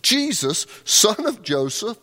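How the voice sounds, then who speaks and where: A man's voice: high-pitched, big pitch swings, slow, bright, forceful tone. A male pastor delivering a conversational sermon, often repeating words as he restarts a thought. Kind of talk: sermon with scripture reading. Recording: long hall reverb.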